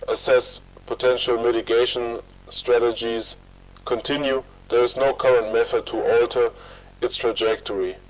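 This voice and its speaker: A solemn official played by a man delivers a formal emergency broadcast in measured, impersonal tones. The sound is muffled and set back from the microphone. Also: medium pitch, recorded from a distance, lightly acted. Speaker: emergency bulletin announcer. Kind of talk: formal scripted emergency bulletin.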